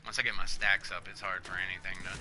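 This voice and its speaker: A man's voice: low voice, level tone, fast talker, noisy background. A man in his thirties casually explaining a game while playing live, loose and chatty, swearing now and then. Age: 30s. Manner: loose, chatty, swears freely and laughs at himself. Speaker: game streamer. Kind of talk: casual live gameplay commentary.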